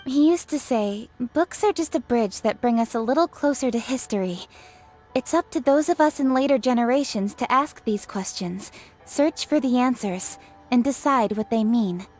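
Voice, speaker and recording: A woman's voice: fairly high pitch, animated, normal speed, a little mellow, soft background music. A woman voicing an earnest, thoughtful young noblewoman official in a game, formal and articulate. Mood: reflective. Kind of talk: scripted game voice acting.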